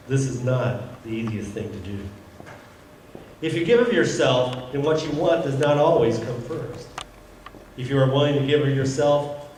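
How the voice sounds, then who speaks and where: A man with a medium-pitched voice reads out a prepared sermon. His delivery is measured and builds on repeated phrases. He sounds distant, in a strongly reverberant church. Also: a little mellow. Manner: measured, rhetorical repetition. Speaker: preacher. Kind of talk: scripted sermon. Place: church sanctuary. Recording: echoey church, distant mic, faint noise.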